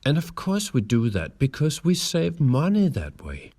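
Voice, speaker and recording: Lively Danish-accented male presenter. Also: fairly high pitch, unhurried, breathy edge. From Denmark. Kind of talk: persuasive presentation to camera.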